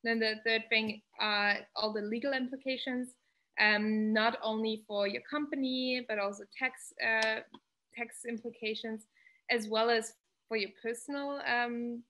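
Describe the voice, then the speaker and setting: A woman in her thirties, a startup founder, giving earnest, measured advice on a video call panel, pausing often with "um". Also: on the high side, steady tone, bright, forceful tone, lo-fi sound. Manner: earnest, measured, many "um" pauses. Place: indoor home room. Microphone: laptop webcam on video call.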